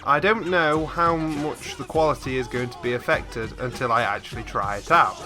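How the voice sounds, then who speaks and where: A man's voice: medium pitch, normal speed, music in the background. A man hosting a reaction video, chatting casually to viewers in a rambling, easily amused way on a desktop microphone. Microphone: desktop USB microphone, slightly set back.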